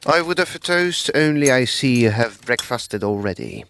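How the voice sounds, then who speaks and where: Dry, lively Edwardian gentleman, played by a man. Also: medium pitch, normal speed, creaky, slight background noise. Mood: teasing. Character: dry, teasing Edwardian estate agent, sceptical business partner. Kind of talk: tongue-in-cheek period audio drama dialogue.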